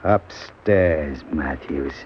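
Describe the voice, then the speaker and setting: Slow, deep-voiced menacing gangster. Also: male voice, full character voice. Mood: cold menace. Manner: threatening, clipped commands. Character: menacing gangster husband, cruel and deliberate. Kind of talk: old-time radio crime drama acting. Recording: dull, slightly noisy old radio recording.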